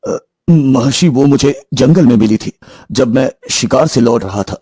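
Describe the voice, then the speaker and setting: A man voicing an ailing, anxious king in a dramatic, pleading manner for an animated bedtime story. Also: medium pitch, a little slow, breathy edge, no room sound. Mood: plain. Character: ailing, anxious king of a fairy-tale kingdom.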